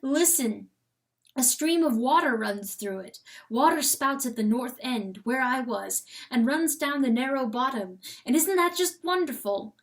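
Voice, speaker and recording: A woman voicing a carefree, gentle and delighted female coyote in a dramatic storybook reading. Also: sits high, expressive, a little slow. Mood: excited. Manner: breathless, exultant, laughing.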